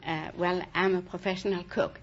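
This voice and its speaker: A woman's voice: lowish voice, steady tone, normal speed. Hesitant woman in her sixties. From Denmark. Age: 60s. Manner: hesitant, filler-heavy, giggly answers. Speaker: quiz contestant, cook. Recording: dull old radio broadcast with faint hiss.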